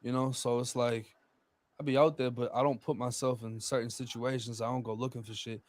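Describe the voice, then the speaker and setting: A man's voice: medium pitch, flat delivery, a little fast, dry recording. A man chatting casually as a podcast guest, blunt and profane, with heavy street slang.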